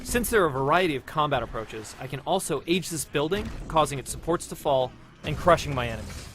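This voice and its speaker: A man's voice: expressive, a little fast, music in the background. A man presenting a game walkthrough as its producer, in scripted demo narration. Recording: noisy low-quality promotional video audio.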